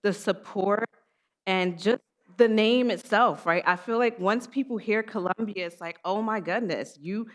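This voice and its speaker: A woman's voice: mid-range voice, unhurried, rough recording. A woman from Queens, answering on a panel in an earnest, conversational way, often saying "like". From Queens, New York. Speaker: panelist, program alumna.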